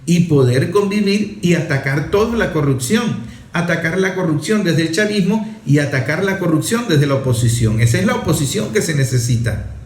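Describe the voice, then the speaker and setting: A man's voice: fairly high pitch, lively intonation, normal speed. A man with a Venezuelan accent, a journalist host giving political opinion commentary in emphatic, repetitive rhetorical phrasing. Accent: Venezuelan Spanish. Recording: roomy echo, faint music underneath.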